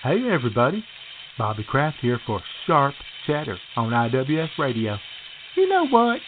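A man's voice: mid-range voice, wildly swooping pitch, normal speed, narrow, tinny audio, background noise. A man presenting a chatty how-to radio segment in an emphatic, bragging, instructive manner.

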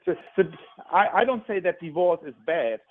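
A man's voice: high-pitched, lively intonation, moderate pace. German-accented man over a phone line. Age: 60s. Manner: earnest, explanatory, frequent stutter-restarts. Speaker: relationship expert guest. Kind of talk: interview answers. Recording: phone-like narrow sound.

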